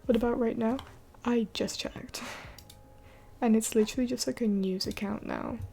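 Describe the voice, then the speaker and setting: A woman's voice: a little high, fairly even intonation, normal speed, gravelly, warm tone, slight background noise. A woman in her twenties hosting a late-night live Q&A, rambling with many fillers and often dropping to a whisper. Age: 20s. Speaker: livestream host.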